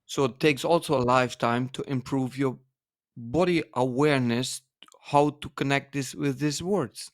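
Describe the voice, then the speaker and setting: A man with a Dutch accent, Dutch being his mother tongue, speaking English haltingly on a podcast and searching for words. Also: mid-range voice, no echo, clean studio sound. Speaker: podcast guest, dance author. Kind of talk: casual conversation.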